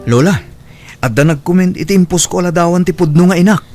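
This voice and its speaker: A man's voice: high-pitched, fast talker, a little mellow, faint music behind, close to an everyday voice. A man playing a devoted, earnest young son in a radio drama, with a pleading manner. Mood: determined.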